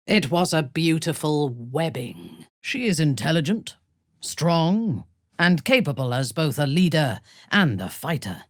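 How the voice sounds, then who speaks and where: A woman voicing a ruthless, haughty warrior zealot for a video game, speaking in an imperious, measured, menacing way. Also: sits low, slightly rough, dry recording, studio quality, heavily acted. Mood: stern, assured. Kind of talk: video game character voice lines.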